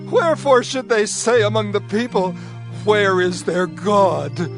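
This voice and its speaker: High-pitched pleading supplicant. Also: male voice, a little slow, over music. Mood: anguished pleading. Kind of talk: dramatized scripture reading.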